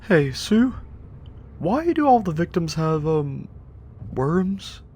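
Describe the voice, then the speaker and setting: A man's voice: on the high side, big pitch swings, slow, darkish tone, a little hiss or hum. A man playing an anxious, naive and earnest young investigator in a scripted web horror drama, hesitant and full of questions. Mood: worried, curious.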